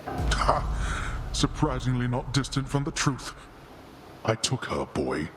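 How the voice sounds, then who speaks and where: An actor playing a stern, imperious god of the dead in a video game, speaking with scornful, deliberate command. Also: male voice, medium pitch, big pitch swings, a bit bright, slight background noise. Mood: angry, taunting. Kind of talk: dramatic video game acting.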